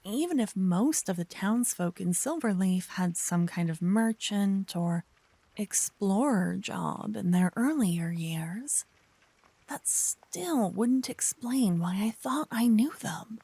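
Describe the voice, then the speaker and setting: Woman doing a young paladin girl for asmr fantasy roleplay, earnest n dramatic, mellow slightly husky voice, soft asides. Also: mid-range voice, expressive, takes it slowly, dry recording, heavily acted. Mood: curious, puzzled.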